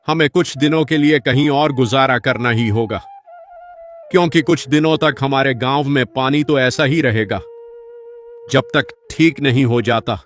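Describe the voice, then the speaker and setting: A man playing a humble, patient old village uncle in an animated moral story, speaking earnestly and reassuringly. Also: medium pitch, natural voice. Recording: music behind the voice.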